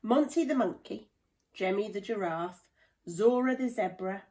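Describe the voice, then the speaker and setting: Slow, expressive woman storyteller. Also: mid-range voice. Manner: slow, deliberate, dramatic storytelling. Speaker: play therapist reading a children's book. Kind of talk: expressive children's storybook narration.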